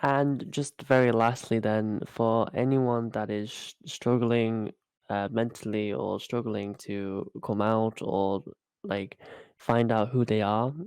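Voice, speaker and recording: A male podcast host asks his question slowly and carefully. His voice is low and smooth, and the recording sounds dry and close. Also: fairly even intonation, a little mellow, dry recording.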